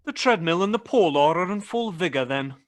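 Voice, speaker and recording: A man's voice: sits high, animated, brisk. A man playing a cold, irritable old miserly businessman in a radio play, with a curt, grumbling, scornful delivery. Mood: sardonic.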